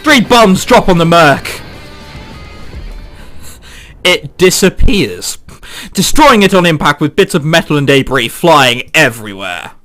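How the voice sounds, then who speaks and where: A man's voice: fairly high pitch, very animated, unhurried, long pauses, fairly bright tone. A man reading a visual novel aloud and breaking off into jokey, theatrical asides, with game music behind him. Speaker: let's play host.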